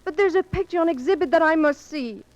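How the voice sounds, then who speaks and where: An insistent, anxious woman in a dull 1940s recording. Her voice sits high, and she pleads with urgent, theatrical intensity. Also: fast talker. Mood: pleading. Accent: foreign. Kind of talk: 1940s radio drama acting.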